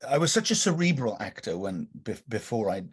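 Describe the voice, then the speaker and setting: A man's voice: lowish voice, big pitch swings, brisk, husky. A middle-aged man from North London with a slight Welsh lilt, telling rambling stories as a podcast guest, with many fillers and restarts. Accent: slight Welsh lilt over London English. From North London, England. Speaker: guest actor and director. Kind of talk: relaxed anecdotal podcast interview.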